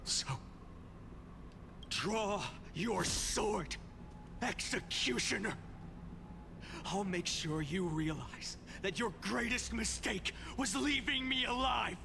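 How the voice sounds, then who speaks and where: An actor playing an anguished, vengeful warrior worn down by endless sorrow, with solemn, dramatic video game delivery. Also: male voice, high-pitched, expressive, takes it slowly, vocal fry, pauses often, noisy background, full character voice. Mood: grieving anger, then furious. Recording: dramatic music behind the voice.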